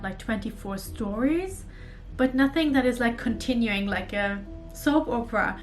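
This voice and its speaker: A woman from Germany with a German accent, talking earnestly and thoughtfully to camera about books in a casual vlog. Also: on the high side. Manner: earnest, thoughtful, with small restarts. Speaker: book vlogger.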